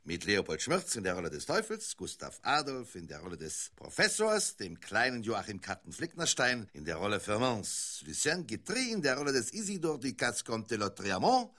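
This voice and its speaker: An actor playing a radio announcer in a radio play, formal and theatrical, easily offended. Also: male voice, medium pitch, wildly swooping pitch, normal speed, hardly any pauses, bright, forceful tone, heavily acted. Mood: showy, formal. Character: easily offended male studio announcer with showy, grandiose delivery. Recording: radio drama studio production.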